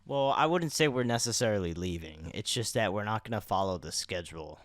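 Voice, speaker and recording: A man's voice: lowish voice, expressive, fairly quick, dry recording, studio quality. A young man co-hosting a podcast in casual chat, now and then addressing listeners like an announcer. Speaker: podcast co-host.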